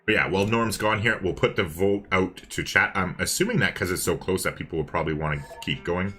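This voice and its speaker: A man's voice: low-pitched, brisk, bright, forceful tone, faint music behind. A man co-hosting a live game stream, chatting casually and thinking aloud to his viewers. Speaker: co-host of a livestreamed multiplayer strategy game.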